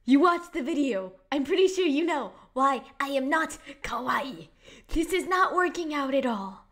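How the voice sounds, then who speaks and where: A woman putting on a sugary high anime girl voice. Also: animated, normal speed, fairly bright tone. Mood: exasperated. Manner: hesitant, giggly, trailing off into fillers. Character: cutesy high-pitched anime-style girl voice. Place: quiet room at night.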